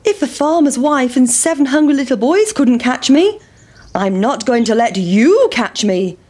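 A woman voicing a plucky, excitable runaway pancake in a children's storybook, with emphatic exclamations. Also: high voice, a little slow, pitched above the performer's own voice, exaggerated voice. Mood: defiant.

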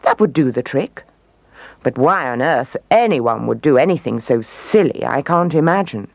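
A woman voicing a puzzled, pondering wise male owl for a children's storybook, musing and deliberate. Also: extremely low pitch, expressive, no echo, heavily acted.

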